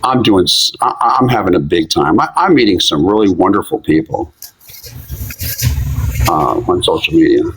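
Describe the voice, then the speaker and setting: A man's voice: low voice, sing-song, normal speed, long pauses, fairly bright tone, slight background noise, poor audio. A man in his fifties from Philadelphia, chatting candidly and directly in a casual live stream. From Philadelphia, USA. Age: 50s.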